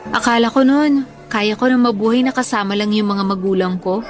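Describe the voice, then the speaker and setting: An actress playing a tender young teacher who cares for her parents, speaking softly and earnestly in a Tagalog radio drama. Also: on the high side, a little fast, faint music behind, lightly acted. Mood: grateful, tender. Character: tender young woman teacher, tired devoted caregiver daughter.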